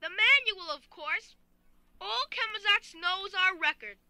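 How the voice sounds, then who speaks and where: Woman playing a very high, bright, officious newsboy. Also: lively intonation, a little slow, dry recording, exaggerated voice. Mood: officious, boastful. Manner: stiff, recited, officious. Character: brisk robotic young newsboy reciting propaganda. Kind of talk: audio drama acting.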